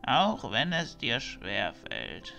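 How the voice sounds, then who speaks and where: A man playing a slow, creaky-voiced pious mentor. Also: medium pitch, wildly swooping pitch, pushed and bright, faint music behind, full character voice. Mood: calm admonishing. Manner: measured, admonishing, sermon-like. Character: patient pious male temple mentor preaching peace.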